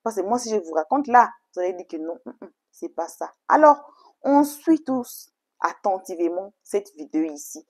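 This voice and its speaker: A woman's voice: medium pitch, animated, normal speed, a little mellow. A woman whose first language is English, chatting to viewers as a channel host in an emphatic, repetitive way. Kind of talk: casual, chatty introduction to story videos.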